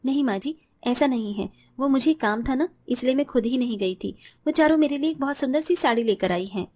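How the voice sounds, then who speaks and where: A woman's voice: sits high, fairly quick, a little mellow. A woman playing caring, dutiful women of a household, mother-in-law and daughter-in-law, in a cartoon story with an earnest manner. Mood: questioning, reassuring. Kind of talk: Hindi cartoon story dialogue.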